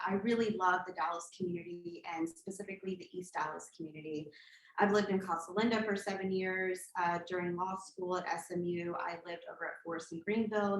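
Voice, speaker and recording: Lady candidate from colombia, lowish voice, earnest, lots of uhs, big echoey room. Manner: earnest, steady, with frequent "uh" fillers. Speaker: political candidate. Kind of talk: campaign speech. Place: church meeting hall. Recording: echoey hall.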